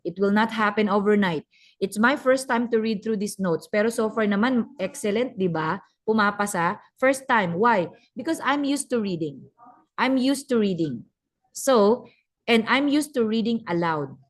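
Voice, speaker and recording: A woman with a Filipino accent coaching a class over a video call, brisk and teasing, mixing English and Tagalog. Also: mid-range voice. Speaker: communication skills trainer. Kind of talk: online class instruction.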